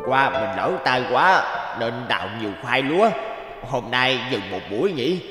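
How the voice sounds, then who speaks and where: A man's voice: medium pitch, expressive, a little slow, natural voice. A man voicing a greedy, cunning male tanuki in a cartoon, who turns whiny when caught. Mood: cautious. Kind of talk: cartoon voice acting. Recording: faint music behind.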